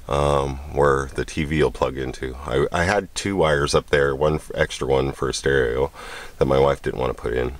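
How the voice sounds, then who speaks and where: A man giving a chatty, rambling handheld camera tour with lots of ums and uhs. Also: rumbling low. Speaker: vlogger showing his homemade trailer. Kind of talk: casual handheld walkthrough. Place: outdoors at a parking lot, then inside a small trailer.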